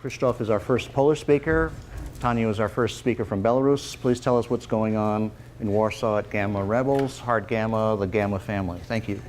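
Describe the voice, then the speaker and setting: A man's voice: fairly low pitch, a little fast, darkish tone, slight background noise. A man moderating a speaker series, briefly thanking one speaker and introducing the next.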